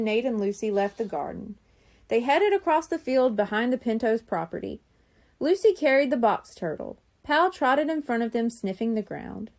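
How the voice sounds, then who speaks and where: A woman reading a children's chapter book aloud in an expressive storytelling manner. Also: a little high. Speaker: audiobook reader.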